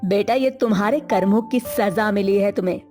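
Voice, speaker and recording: A woman's voice: mid-range voice, normal speed, music in the background, close to an everyday voice. A woman voicing a long-suffering, firm but caring older mother-in-law in a dramatic, plaintive way for a Hindi moral story cartoon. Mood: stern, sorrowful.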